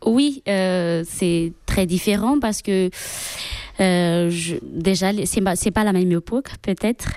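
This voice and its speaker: A religious sister from Madagascar, a Malagasy speaker, answering interview questions in French with many pauses and fillers. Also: female voice, on the low side, darkish tone, no echo, clean studio sound. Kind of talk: radio interview.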